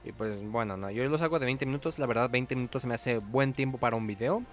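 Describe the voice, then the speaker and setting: A man's voice: mid-range voice, fast. A man with a Mexican Spanish accent giving casual, chatty gameplay commentary in informal slang as host of a gaming video. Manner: informal, chatty, hums little sound effects.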